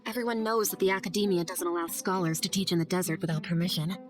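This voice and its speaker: An actress playing an earnest, idealistic young scholar in a video game cutscene, speaking gently and articulately. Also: female voice, lowish voice, steady tone, quick pace, lightly acted. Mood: earnest, reflective. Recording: music under the voice.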